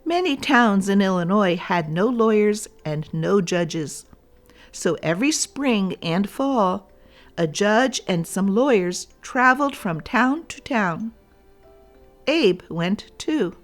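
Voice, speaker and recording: A woman narrates a children's storybook in a medium-pitched voice. She goes slowly and deliberately, speaking to young listeners. Faint music plays behind her. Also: lively intonation.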